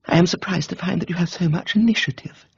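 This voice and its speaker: A man voicing an imperious, excitable young upper-class Englishwoman in a dramatised book reading. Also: high voice, fast, gravelly, husky, dry recording, lo-fi sound, pitched above the performer's own voice, heavily acted.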